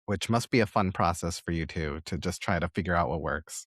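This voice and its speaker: Deep-voiced male podcast host, encouraging. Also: fairly quick, a bit bright, no room sound, clean studio sound. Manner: encouraging, affirming, long reflective questions. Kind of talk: conversational interview.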